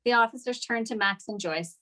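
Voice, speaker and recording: A woman's voice: a little high, a little fast, bright, forceful tone. A woman reading scripted stage directions as narrator at a table read.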